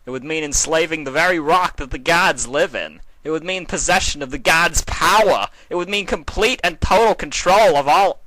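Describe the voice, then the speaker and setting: A man's voice: normal speed, few pauses, fairly bright tone, no echo, lightly acted, poor audio. Man doing an odd-cadenced, ranting pony tyrant. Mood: fanatical. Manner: odd cadence, pitch rising at sentence ends. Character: male pony tyrant king, earnest, power-mad, ranting. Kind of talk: fan fiction reading, cartoon villain.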